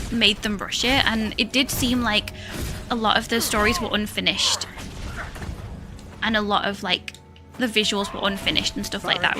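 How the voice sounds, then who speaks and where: A shy woman with a high voice chatting hesitantly while streaming a game, full of fillers and little laughs. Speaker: live streamer. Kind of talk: casual chat while playing a game.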